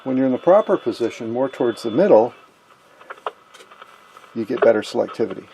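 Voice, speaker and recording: A man giving an informal technical demonstration of vintage radio gear, explaining and pausing to point things out. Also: medium pitch, expressive, creaky, background noise. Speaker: host, electronics hobbyist.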